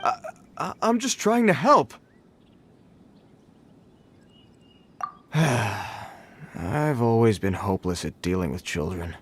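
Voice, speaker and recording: An actor playing a dutiful, well-meaning patrol guard with a formal, earnest and slightly awkward manner in a video game. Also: male voice, mid-range voice, sing-song, measured pace, a little hiss or hum. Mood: flustered. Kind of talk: video game dub acting.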